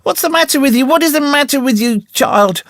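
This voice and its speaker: A man voicing an anxious older aunt who scolds and frets in a high, shrill voice. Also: wildly swooping pitch, normal speed, fairly bright tone, no room sound, studio quality, heavily acted. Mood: alarmed.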